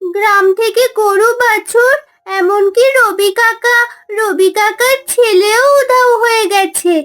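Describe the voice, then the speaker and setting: A woman's voice: very high voice, steady tone, slow, fairly bright tone, exaggerated voice. A woman playing a sweet, anxious village girl in a cartoon fairy tale, with a girlish, plaintive manner. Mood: worried.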